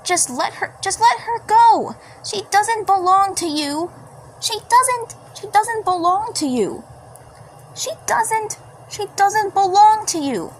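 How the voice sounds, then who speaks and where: A woman as a high-voiced, fierce cartoon superhero girl. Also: animated, slow, slight background noise, heavily acted, rough recording. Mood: indignant. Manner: stammering, repetitive, confrontational.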